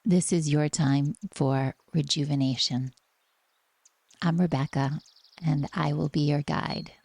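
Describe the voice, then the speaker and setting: A woman with a soft, breathy voice gently leading a guided relaxation meditation in a soothing, unhurried manner. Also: sits low, steady tone.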